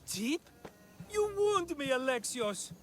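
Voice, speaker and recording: Flamboyant, high-pitched scheming merchant. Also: male voice, very animated, takes it slowly, a little hiss or hum, full character voice. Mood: mock wounded. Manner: theatrical, wheedling, exclamatory. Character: flamboyant, scheming middle-aged merchant man, cheerful smooth-talker. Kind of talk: video game cutscene acting.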